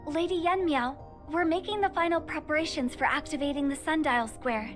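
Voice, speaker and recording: Woman playing a high-voiced, courteous young official. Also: brisk, natural voice. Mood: earnest briefing. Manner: polite, formal, briefing. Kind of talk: scripted game dialogue. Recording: game dialogue over background music.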